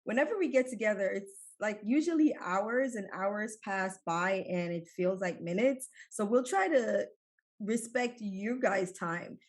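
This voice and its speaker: Middle-aged woman guest from Jamaica, mid-pitched, chatty and relaxed, lots of fillers. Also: a little slow. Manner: warm storytelling with laughs and many fillers. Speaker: guest, emergency physician. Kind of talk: conversational interview storytelling.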